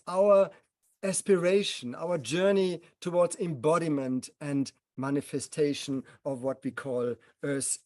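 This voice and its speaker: Mellow man, slow and solemn. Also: on the high side, no echo. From Germany. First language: German. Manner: measured, speaks in short solemn phrases. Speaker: speaker at a gathering. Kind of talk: reflective personal talk.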